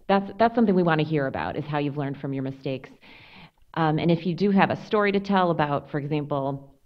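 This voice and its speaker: A woman panelist who interviews for a law firm, giving relaxed, wry advice on interview prep. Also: sits low. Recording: dull lo-fi sound.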